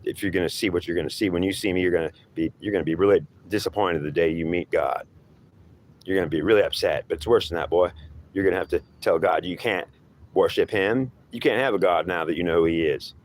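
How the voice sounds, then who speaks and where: A man's voice: deep voice, brisk, a little hiss or hum, close to an everyday voice. A man playing a taunting devil who claims to be God, lecturing in a scornful, goading, repetitive way. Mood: mocking, stern.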